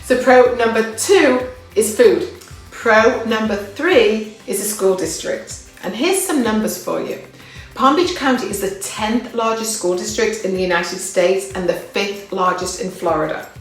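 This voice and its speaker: English woman, casual upbeat guide, mid pitch, roomy off-mic sound. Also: unhurried, far from the mic, faint music behind. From England. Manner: chatty and upbeat, with fillers and restarts. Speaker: local living guide. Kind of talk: casual talk to camera.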